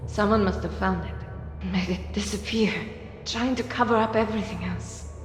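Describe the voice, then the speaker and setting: An actress playing a wistful girl from France who tells of her lost friend in a measured, literary way, for an animated game. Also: mid-range voice, soft background music, close to an everyday voice. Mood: grave. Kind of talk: dramatic storytelling in an animated game cutscene.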